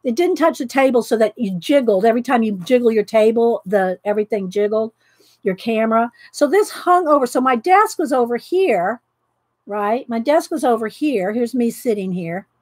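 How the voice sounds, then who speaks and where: A middle-aged woman hosting a casual craft chat from her home craft room, talking loudly and laughing often. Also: fairly high pitch, expressive, moderate pace, no room sound. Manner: loud, chatty, laughs often. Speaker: craft video host. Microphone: overhead camera pointing down at desk.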